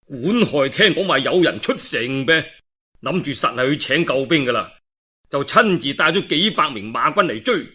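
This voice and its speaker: Animated Cantonese storyteller, husky and bright. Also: male voice, mid-range voice, normal speed. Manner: dramatic, expressive, colloquial asides. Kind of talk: animated traditional storytelling of a historical novel.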